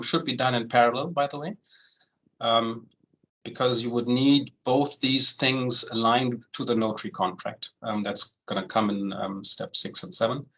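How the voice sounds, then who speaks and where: A man in his forties who grew up in Germany, a home loan consultant giving an online presentation in a relaxed way, full of "um" fillers and the tag "right?". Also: mid-range voice, poor audio. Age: 40s. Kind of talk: online presentation, walking through steps.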